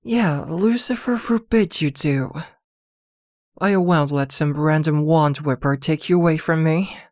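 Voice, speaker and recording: A woman's voice: deep voice, measured pace, darkish tone, dry recording, clean studio sound. A woman playing a possessive, protective witch girlfriend in an ASMR roleplay, scolding and fussing tenderly by turns. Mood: jealous, possessive. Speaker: voice actor in an audio roleplay.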